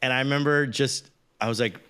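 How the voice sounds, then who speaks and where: A man's voice: medium pitch, steady tone, bright, forceful tone, clean tone. Teasing, joking male host. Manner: joking, teasing, quick with pop-culture references. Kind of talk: casual chat.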